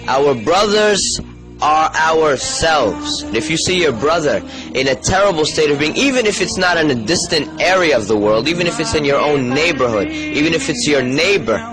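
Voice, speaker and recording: A man's voice: fairly high pitch, animated, moderate pace, poor audio. A man preaching in an earnest, exhortative sermon style that mixes storytelling with direct appeals. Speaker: Islamic preacher. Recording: voice over background music. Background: music.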